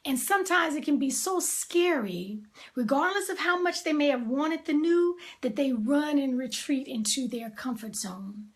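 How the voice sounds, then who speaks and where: A woman giving a reflective motivational talk, deliberate and emphatic, with drawn-out pauses and soft asides. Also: high-pitched, lively intonation.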